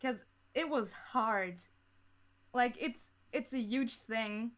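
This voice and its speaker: A woman's voice: a little high, takes it slowly, a bit bright. A woman talking casually in an interview as a podcast guest who makes audio dramas.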